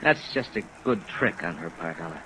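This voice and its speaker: A man's voice: low-pitched, very animated, fairly quick, sharp tone, heavily acted, rough recording. A man acting as a chagrined, middle-aged veteran hotel operator in a 1950s radio drama, hesitant and self-mocking. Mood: wistful, chagrined. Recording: vintage radio recording under rain noise.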